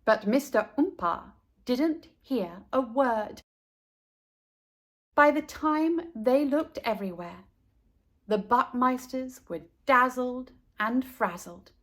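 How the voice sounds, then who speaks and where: Slow, animated woman reading a storybook. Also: high-pitched. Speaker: children's book narrator. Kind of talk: expressive storybook read-aloud for children.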